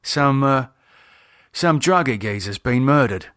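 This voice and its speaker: A man voicing a gruff, laconic working-class British pub owner with slangy talk in an audiobook. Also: mid-range voice, expressive, a little slow, a bit bright, no echo, studio quality. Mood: matter-of-fact. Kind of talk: audiobook character acting.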